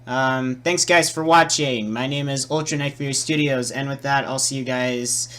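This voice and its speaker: A man presenting a casual, improvised software tutorial, muttering and repeating himself as he works. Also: medium pitch, steady tone, moderate pace. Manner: hesitant, muttering, repeating words while working.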